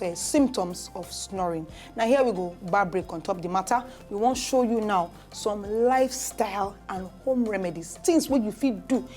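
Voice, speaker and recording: A Nigerian woman doctor talks through health advice in a television studio, her pitch sitting in a middle range. She explains things step by step, pausing on fillers and starting over mid-sentence. Soft music plays low behind her. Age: adult. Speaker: doctor presenting a health segment. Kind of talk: casual health talk show.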